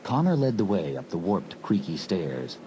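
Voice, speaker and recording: A man narrating a horror audiobook in a measured, brooding storyteller's manner. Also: deep voice, very animated, mellow, low-quality recording. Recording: hissy old cassette recording.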